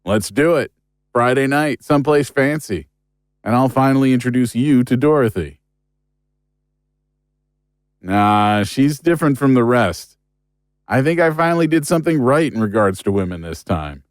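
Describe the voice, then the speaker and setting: A man's voice: medium pitch, animated, normal speed, long pauses, smooth, dry recording, studio quality, natural voice. A man playing an upbeat investigator bantering with his partner in an audio drama, casual and chummy. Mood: sincere.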